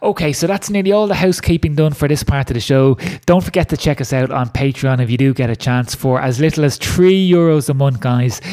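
A man's voice: a little high, darkish tone. A man hosting a podcast in a chatty, casual way, often repeating words as he thinks. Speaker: podcast host.

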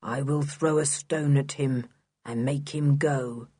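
A woman acts a cold, menacing manservant from a children's storybook. The voice is low, stiff and expressionless. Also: slow pace, slightly rough, no room sound, heavily acted. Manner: flat, stiff. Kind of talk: children's audiobook character acting.

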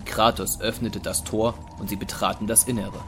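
Male narrator, lowish pitch, deliberate scripted storytelling for an action audio drama, music underneath. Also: flat delivery.

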